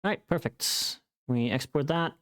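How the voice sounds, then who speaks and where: Casting: live streamer, medium-pitched voice, offhand working chatter, murmured asides and repeated words. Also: moderate pace, fairly bright tone. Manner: muttering to self, repeating words. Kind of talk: casual live stream chat while working.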